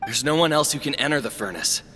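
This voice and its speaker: A man's voice: medium pitch, animated, fast, bright, forceful tone, slight background noise. A man voicing a stern male village leader in a game cutscene, firm and resolute. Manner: firm and resolute, growing angry.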